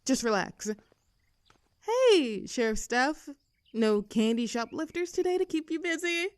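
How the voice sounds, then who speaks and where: A woman's voice: sits high, big pitch swings, unhurried, no echo, clean studio sound. A young woman playing a rebellious teenage girl bully in an audio roleplay, with a sarcastic, teasing, brash manner. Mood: sarcastic defiance. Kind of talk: scripted roleplay audio drama.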